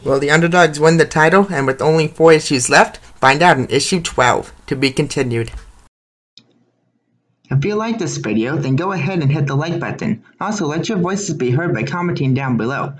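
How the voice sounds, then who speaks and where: Lively higher-pitched man narrating a hockey comic. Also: normal speed. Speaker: narrator and creator of a comic dub series. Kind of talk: scripted narration and play-by-play of an animated hockey comic, reading every part.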